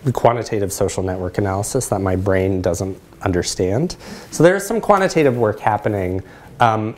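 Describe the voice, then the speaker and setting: A man's voice: lowish voice, a little mellow. A man around forty giving a conference talk in a lecture hall, speaking reflectively and checking in with listeners. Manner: reflective, asks check-in questions. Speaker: presenter, social work researcher. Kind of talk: conference talk with audience discussion.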